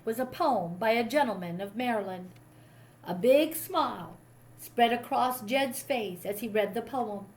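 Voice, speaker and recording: A woman reading a children's history story aloud in an expressive storytelling style. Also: a little high, low-quality recording. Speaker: storybook reader.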